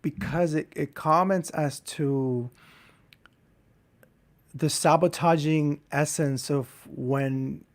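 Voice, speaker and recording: A man hosting a casual interview, speaking reflectively and haltingly, searching for words in an intellectual way and repeating himself. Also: fairly high pitch, fairly even intonation. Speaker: interviewer and host.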